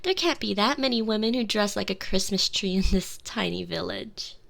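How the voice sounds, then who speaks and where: A woman's voice: mid-range voice, moderate pace, gravelly, a bit bright. A woman playing a sheltered, haughty, lonely young princess in an intimate, theatrical ASMR roleplay on a close binaural mic, sometimes dropping into whispers. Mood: haughty, catty. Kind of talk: ASMR roleplay, scripted monologue. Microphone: close binaural microphone.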